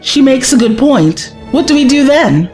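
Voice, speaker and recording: An actress playing a spirited, cheeky young woman companion in a science fiction audio drama, expressive and teasing. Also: high voice, moderate pace, soft background music. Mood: exasperated.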